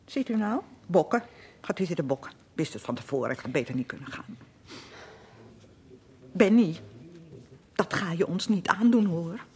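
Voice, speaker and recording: A woman's voice: on the low side, animated, normal speed, long pauses, darkish tone. An actress playing a defensive, uncomprehending middle-aged mother who chatters, scolds and justifies herself, in a Dutch radio play. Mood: indignant, self-justifying. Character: defensive, nagging, uncomprehending mother of a troubled son.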